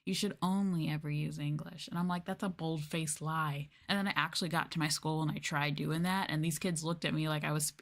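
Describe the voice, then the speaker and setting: Young female streamer, slightly low pitch, casual ASMR-stream chat, apologetic venting delivery. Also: steady tone, fairly quick, few pauses. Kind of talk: casual chatty livestream talk, meant as soft ASMR.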